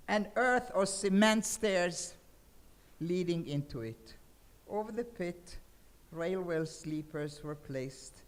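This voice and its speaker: An older woman with a Hungarian accent lectures to a seated audience, speaking into a table microphone. She tells her material like a story and keeps asking her listeners whether they follow. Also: fairly low pitch. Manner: expressive storyteller, often checks in with listeners. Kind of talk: lecture on poetry to a seminar audience. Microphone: table microphone on a stand.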